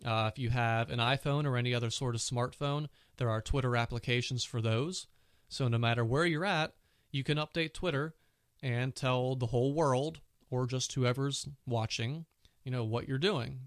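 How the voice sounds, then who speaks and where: Chatty man with a cold, smooth voice. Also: mid-range voice, normal speed, a bit bright, no room sound, clean studio sound. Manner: chatty, many "you know" fillers. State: recovering from a cold, sore throat. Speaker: podcast host. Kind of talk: casual solo tech podcast.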